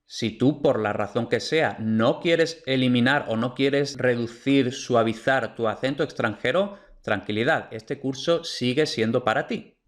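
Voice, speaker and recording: A male teacher delivers an online course lecture over slides. He is clear and methodical, and he restates each point to be sure it lands. His voice sits at an ordinary middle pitch for a man. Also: a little slow. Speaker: Spanish pronunciation teacher.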